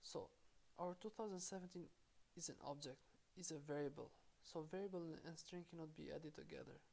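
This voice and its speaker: A woman's voice: sits low, a little fast, creaky, dark tone. A woman from Albania with an Albanian accent, teaching programming in a screen-recorded tutorial and spelling code out step by step. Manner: explains step by step, spelling out code aloud, with small self-corrections.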